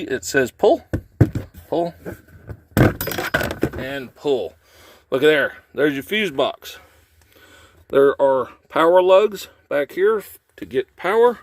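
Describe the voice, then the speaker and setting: A man's voice: a little high, gravelly. A man hosting a casual how-to video, talking through a job in folksy, informal phrasing as he works. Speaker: how-to video host, trucker. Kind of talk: casual hands-on tutorial, talking while working. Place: in and around a truck cab.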